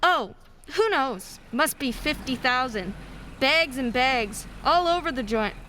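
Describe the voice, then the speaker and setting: Woman as brash folksy mailman, forceful bright tone, slangy, faint background noise, radio drama. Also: sits high, expressive, takes it slowly, heavily acted. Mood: excited, slangy. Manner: slangy, breezy. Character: brash, folksy male city postal worker. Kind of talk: amateur radio play acting.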